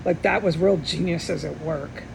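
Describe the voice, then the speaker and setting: A middle-aged woman giving a rambling, earnest personal monologue with insistent, repeated emphasis and a gravelly voice. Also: a little slow. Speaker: vlogger. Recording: steady background hum and rumble.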